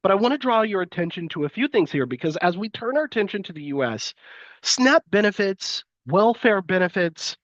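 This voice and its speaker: A man's voice: sits high, big pitch swings, a little fast, fairly bright tone, no echo, lo-fi sound. Sniffly congested man giving a lecture. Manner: hesitant, many fillers and restarts. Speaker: university lecturer. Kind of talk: live-streamed lecture.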